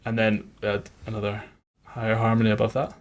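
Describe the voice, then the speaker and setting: A man's voice: fairly low pitch, monotone, fast talker. A man working as a music producer, giving an informal, conversational tutorial on his production methods.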